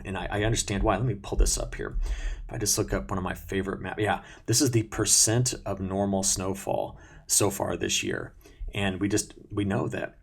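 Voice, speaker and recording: A man's voice: sits low, creaky, breathy edge. A man presenting an informal, explanatory weather briefing, talking viewers through maps step by step in a conversational way.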